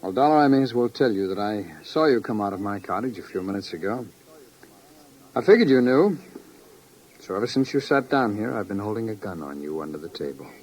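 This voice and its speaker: An actor playing a cornered, murderous gambler, dismissive and guarded with an undertone of menace, in 1950s radio drama style. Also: male voice, on the low side, animated, fairly quick, darkish tone, close to an everyday voice. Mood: quietly menacing. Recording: noisy vintage 1950s radio recording.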